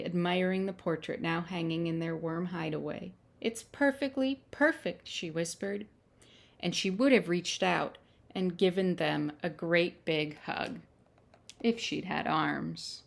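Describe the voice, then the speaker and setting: A woman reading picture books aloud to young children in simple, measured phrases, with little asides to her listeners. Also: lowish voice, vocal fry. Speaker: children's storytime reader. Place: at home.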